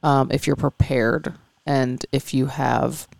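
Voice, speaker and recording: Slightly raspy woman with allergies, candid. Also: extremely low pitch, fairly even intonation, slow, darkish tone, no room sound. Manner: candid, earnest, rambling with fillers. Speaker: podcast co-host. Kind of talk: casual chat.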